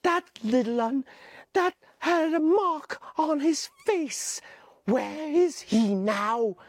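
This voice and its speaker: A woman's voice: high-pitched, expressive, slow, no echo, studio quality, pitched above the performer's own voice, full character voice. A woman voicing an anxious, indignant schoolboy with glasses, in a whiny, protesting tone. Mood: frightened.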